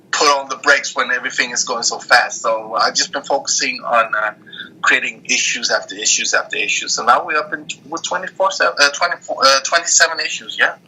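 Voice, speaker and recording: A man's voice: mid-range voice, breathy edge. A man raised in Norway, a comic creator, talking earnestly as an interview guest over a call. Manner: earnest, steady, a bit halting. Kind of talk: casual interview. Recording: tinny phone-like line.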